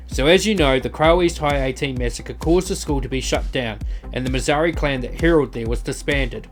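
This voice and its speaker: A man's voice: medium pitch, natural voice. Composed, wordy teenage boy, acted by a man. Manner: composed, wordy, formal, dry. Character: calm, cool teenage boy, former clan member. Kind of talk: visual novel voice acting.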